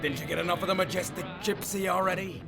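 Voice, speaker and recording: A man's voice: high voice, steady tone, fast, creaky. A man acting as a folksy, wisecracking space-western barkeep with a drawling, theatrical delivery. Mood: wry, welcoming. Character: folksy, wisecracking male space-saloon barkeep, showy salesman. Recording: music under the voice.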